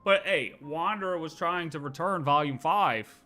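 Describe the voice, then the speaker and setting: A man's voice: fairly high pitch, normal speed, sharp tone. A man giving casual, playful live game commentary, breaking into exclamations and talking to the characters. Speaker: livestreamer. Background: game music behind the voice.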